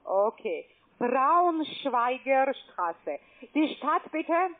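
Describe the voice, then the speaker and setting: A woman on a phone call posing as a bank agent, speaking slowly in halting, broken sentences and repeating numbers back. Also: sits high, sing-song. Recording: tinny phone line.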